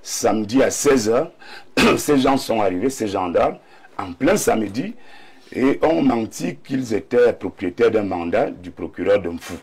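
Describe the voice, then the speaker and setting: A man's voice: fairly low pitch, animated, unhurried. A man with a Cameroonian accent and a slight cold gives emphatic debate commentary as a panelist, often repeating key words for weight. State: slight cold, throat clearing.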